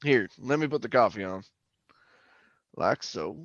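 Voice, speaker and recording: An actor playing a garrulous middle-aged former oil-rig roughneck, telling his tale in a rambling, folksy radio drama manner. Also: medium pitch, animated, measured pace, no room sound, lo-fi sound. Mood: friendly, easygoing. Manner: rambling, folksy, colloquial storytelling. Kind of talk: old-time radio drama monologue and dialogue.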